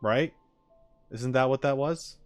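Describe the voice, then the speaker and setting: A man's voice: medium pitch, slow, clean tone, no echo, soft background music. A man doing casual livestream commentary as he reacts to a game, opinionated and emphatic, in an argumentative way. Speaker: streamer reacting to game voice lines.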